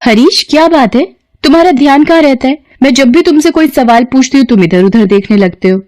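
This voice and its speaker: Woman voicing a high-pitched chiding female professor. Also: fairly quick, darkish tone, no room sound, natural voice. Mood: scolding. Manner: questioning, chiding teacherly tone. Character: thirty-five-year-old female college professor, caring but strict. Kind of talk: acted story dialogue.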